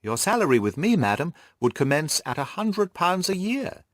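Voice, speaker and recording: Guy doing a big jolly old-fashioned gentleman employer, gushing and exclaiming, voice jumps way up and around, audiobook style. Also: fairly high pitch, very animated, normal speed, no room sound, studio quality, pitched above the performer's own voice. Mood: blustering, flattering. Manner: exclamatory, effusively genial, repeats words. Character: stout, jovial, effusive middle-aged English gentleman employer. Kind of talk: audiobook character voice.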